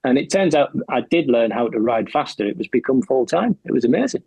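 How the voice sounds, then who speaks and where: A man's voice: medium pitch, animated, brisk, gravelly, darkish tone, husky, no echo. A man in his forties, a podcast guest, telling his story with wry humour. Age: 40s. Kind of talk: casual chat.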